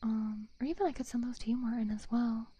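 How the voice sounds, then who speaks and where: A woman streamer chatting softly and casually to viewers in a breathy, whispery ASMR style, gentle and playful, close to the microphone. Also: on the high side, quick pace, slight background noise. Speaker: livestream host. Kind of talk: soft-spoken ASMR, casual chat with viewers. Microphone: close binaural ASMR microphone.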